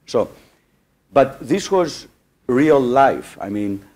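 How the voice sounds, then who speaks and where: A man from Greece making an audience comment at a conference, halting with many uhs and restarts. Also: medium pitch, lively intonation, a little mellow. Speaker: audience member.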